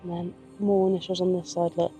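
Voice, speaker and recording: A woman's voice: on the low side, flat delivery, quick pace, mellow, soft background music. A woman presenting a vlog in casual chat, recorded outdoors on a river foreshore.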